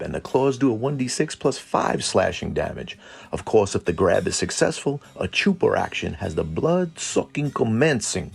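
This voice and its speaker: A man hosting a lore deep dive in a colloquial, irreverent way, part scripted commentary and part casual aside. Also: gravelly, husky. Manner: colloquial, irreverent, mildly profane. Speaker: host of a cryptid and tabletop lore channel.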